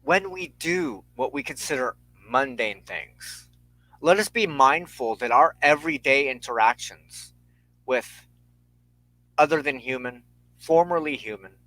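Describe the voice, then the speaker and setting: A man delivers spiritual teaching slowly and ceremoniously, like a formal sermon. His voice is bright and firm, recorded dry and close, and his phrasing is measured and solemn. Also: medium pitch, lively intonation, dry recording, low-quality recording. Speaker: host of a weekly spiritual talk show.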